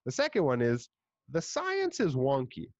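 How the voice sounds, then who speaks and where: A young man with a Montreal English accent, hosting a podcast in casual chat, an animated storyteller who swears and puts on voices. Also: mid-range voice, measured pace, no echo, dull audio. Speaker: podcast host.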